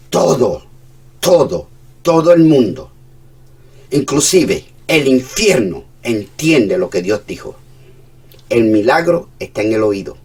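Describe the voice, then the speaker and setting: Cuban-accented male pastor, fervent sermon delivery, husky low voice recorded from a distance. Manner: fervent preaching, shifts between hushed and emphatic.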